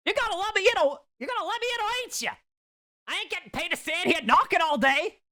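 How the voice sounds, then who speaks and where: Woman putting on a shrill, sassy diva stylist. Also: very animated, moderate pace, bright, forceful tone, full character voice. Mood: impatient. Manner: sassy, ranting, theatrical. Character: dramatic, impatient female stylist in her thirties.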